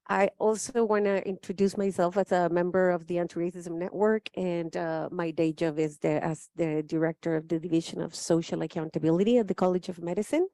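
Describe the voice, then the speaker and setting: A woman's voice: fairly low pitch, mellow, no echo. A woman from Chile, speaking as a panelist in a thoughtful, emphatic way and often checking in with "right?". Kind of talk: spoken forum remarks.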